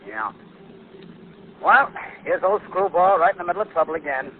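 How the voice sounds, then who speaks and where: An actor in 1940s American radio drama playing a brash, self-important schemer with breezy, slangy talk. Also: male voice, high-pitched, animated, moderate pace, narrow, tinny audio. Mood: self-pitying bluster. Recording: thin, hissy 1940s radio broadcast recording.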